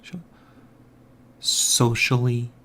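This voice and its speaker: A man hosting his own podcast, talking casually and softly in a quiet, mumbling way from a home room with an overhead mic. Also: medium pitch, completely flat delivery, extremely slow, a little hiss or hum. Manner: quiet, soft-spoken, mumbling. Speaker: podcast host. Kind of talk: casual morning monologue. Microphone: hanging overhead mic.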